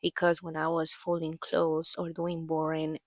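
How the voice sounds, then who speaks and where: Woman in her 30s rambling thru her own story, lots of um and like, kinda lowish gravelly voice, losing it a bit from allergies. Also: completely flat delivery, a little slow, no echo. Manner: rambling, hesitant, many fillers and restarts. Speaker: podcast host. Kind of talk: personal storytelling monologue.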